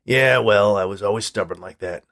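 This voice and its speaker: A man's voice: on the low side, moderate pace, fairly bright tone. A man voicing a grumpy, stubborn old man in a story, with curt, sardonic, dismissive delivery. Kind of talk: storybook character dialogue.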